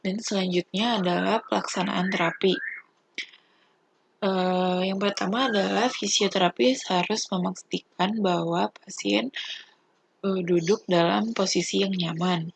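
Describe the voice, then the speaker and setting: A young adult woman, a student giving an instructional presentation in a halting, deliberate, step-by-step way. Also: mid-range voice, fairly even intonation, gravelly, a little hiss or hum. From Indonesia.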